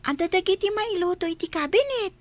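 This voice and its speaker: Very high, childlike voice of a sweet, polite little girl played by a woman, close and dry, eager to please. The delivery is that of radio-style drama. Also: expressive, a little fast, dry recording. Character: sweet, eager young girl, the employer's daughter.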